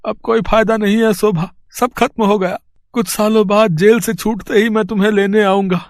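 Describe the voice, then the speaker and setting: A humble poor village vendor played by a man in a storybook drama. The voice is high for a man and dry, with an earnest, hesitant, polite manner. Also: flat delivery, normal speed, no room sound. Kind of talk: acted storybook dialogue.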